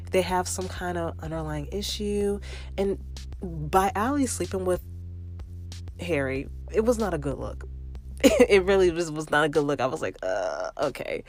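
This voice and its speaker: A young adult woman hosting a casual solo podcast, chatty and animated, sounding a little congested from allergies. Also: low-pitched. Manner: chatty and animated, with fillers and quoted asides. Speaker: podcast host.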